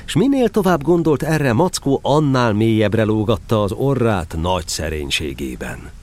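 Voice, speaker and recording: A man narrating a scripted children's story in an expressive storytelling manner. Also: lowish voice.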